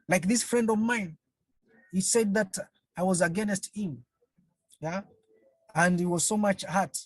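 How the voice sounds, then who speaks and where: A counselling trainer gives an online webinar talk over a video call. The speaker talks slowly and haltingly, repeats phrases and keeps asking the audience rhetorical questions. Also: medium pitch, a little mellow, breathy edge, no room sound, low-quality recording.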